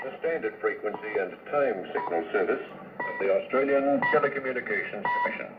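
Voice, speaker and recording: A man giving a formal scripted station identification as a time signal announcer, phrase by phrase, over shortwave radio. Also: mid-range voice. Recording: very noisy, narrow shortwave radio recording.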